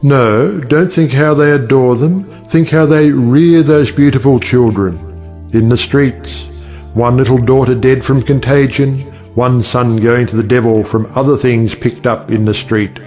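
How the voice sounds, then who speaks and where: Man playing a sardonic, speechifying middle-aged gentleman. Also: mid-range voice, a little slow, faint music behind, lightly acted. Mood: earnest, argumentative. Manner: rhetorical, sardonic, declamatory. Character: witty cynical older uncle, a judge who lectures on marriage. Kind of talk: stage play audiobook acting.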